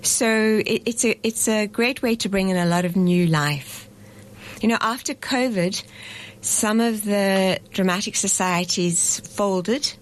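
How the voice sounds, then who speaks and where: A woman's voice: mid-range voice, measured pace, slight background noise. A middle-aged woman, a festival director, speaking thoughtfully in a radio studio interview, with frequent fillers and restarts. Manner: thoughtful, hesitant with fillers and restarts, laughs easily.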